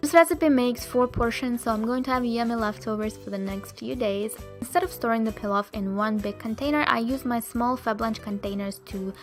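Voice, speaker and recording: A woman's voice: on the high side, brisk, few pauses, soft background music. A young woman from Russia with a Russian accent, chatty and upbeat as she narrates a recipe vlog. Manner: upbeat, chatty, addresses viewers as "you guys". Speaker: cooking vlogger.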